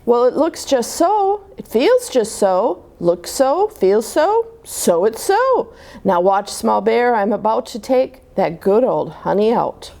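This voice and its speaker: A woman's voice: a little high, sing-song, takes it slowly, gravelly, warm tone, heavily acted. A woman voicing a boastful, confident father bear in a children's storybook, making pompous, emphatic pronouncements.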